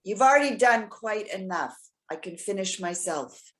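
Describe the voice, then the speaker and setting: A woman's voice: medium pitch, animated, normal speed, lightly acted. A woman playing a lonely, proud elderly lady in love, in a staged play reading. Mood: curt, hurt. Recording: echoey room.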